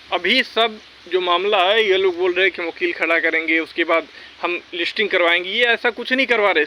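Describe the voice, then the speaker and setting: A man's voice: sits high, sharp tone, a little hiss or hum, poor audio. A man talking informally and with strong opinions straight to his viewers, exhorting them and repeating his points for emphasis. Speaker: vlogger giving recruitment exam updates.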